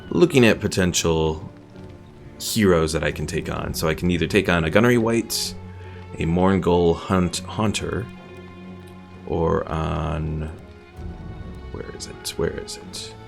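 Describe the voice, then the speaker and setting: A man's voice: sits low, a little slow, vocal fry, music in the background. A man giving casual gameplay commentary as a streamer, musing and thinking aloud as he goes. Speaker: game streamer.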